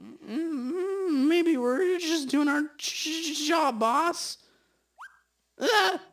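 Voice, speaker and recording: A woman playing a loyal, slow-witted detective's sidekick in a radio drama, with hesitant, drawn-out delivery. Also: sits high. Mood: puzzled. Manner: hesitant, drawn-out, humming before answering. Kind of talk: amateur school radio drama acting.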